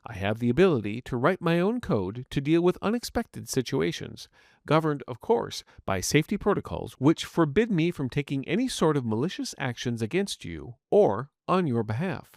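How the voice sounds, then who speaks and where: A man's voice: mid-range voice, sing-song, moderate pace, creaky, a little mellow, dry recording, clean studio sound. A man voicing a polite, earnest artificial intelligence medical assistant in an audio story, speaking formally and courteously. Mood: reassuring. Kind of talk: scripted audiobook narration in character.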